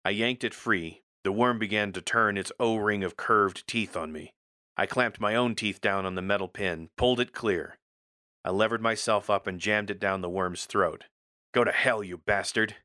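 A man's voice: on the low side, moderate pace, bright, forceful tone, no echo, clean studio sound. A man reading a first-person military science fiction story as a scripted narrator, in a gritty, terse storytelling manner.

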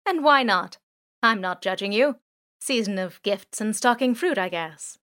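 A woman's voice: mid-range voice, lively intonation, pushed and bright. A woman voicing a chatty, playful robot drone. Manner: chatty, musing, natural pauses. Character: loud talkative male surveillance drone, playful.

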